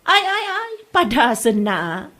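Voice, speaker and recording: A woman's voice: fairly high pitch, slow pace, exaggerated voice. A woman acting an astonished, sympathetic village woman with an Ilocano accent in a comedy drama, full of exclamations. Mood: disbelieving. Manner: exclaiming, expressive.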